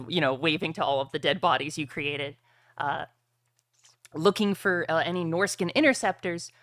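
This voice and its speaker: A man voicing a defeated, bitter ship captain who answers haltingly in old-time seafarer phrasing. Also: fairly high pitch, bright, forceful tone, dry recording.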